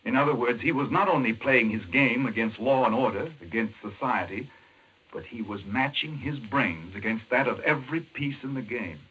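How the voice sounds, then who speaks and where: An actor playing an intense, urgent doctor in a 1940s American radio mystery, explaining things emphatically. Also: male voice, medium pitch, animated, moderate pace, fairly bright tone, breathy edge. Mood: fascinated, grave. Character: intense, obsessed male chess-playing doctor explaining a madman's scheme. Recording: muffled 1940s radio recording.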